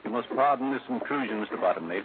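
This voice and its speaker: A man's voice: medium pitch, animated, fast talker, very noisy, natural voice. Man doing stuffy fussy manager, super formal and proper, 1950s radio drama, tinny old recording. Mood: formal, courteous. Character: prim, stuffy old-fashioned male furniture company manager, easily scandalized.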